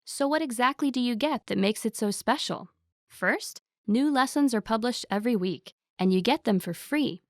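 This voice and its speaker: Woman promo announcer, upbeat sales read, higher voice, dead-dry studio sound. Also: animated, normal speed, no echo. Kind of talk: scripted promotional voice-over.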